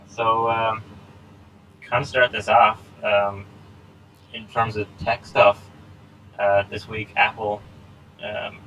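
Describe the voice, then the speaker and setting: Low-voiced guy in his 20s, rambly podcast chat, bit of background hiss. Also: slow pace, long pauses, low-quality recording. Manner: relaxed, rambling with many fillers and laughs. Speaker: podcast co-host. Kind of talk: casual chat. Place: small home setup. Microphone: laptop recording.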